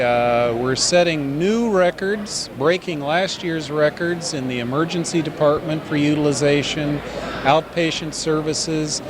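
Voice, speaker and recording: A man who directs hospital public relations, speaking in a polished, promotional way with some dry humor during an interview at a business expo. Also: on the high side. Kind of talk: casual interview. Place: busy indoor business expo.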